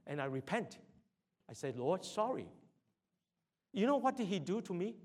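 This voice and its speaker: Man with a fairly high voice, halting testimony. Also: sing-song. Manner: halting, repeats words, rises to loud exhortation. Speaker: church brother giving a testimony. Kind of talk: sermon testimony.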